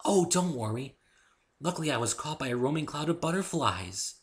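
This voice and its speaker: A man voicing a meek, gentle young female pony, polite and apologetic, in a dramatic story reading. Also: fairly high pitch, lively intonation, moderate pace, pitched above the performer's own voice, close to an everyday voice. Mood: meek, reassuring. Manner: meek, soft-hearted, apologetic.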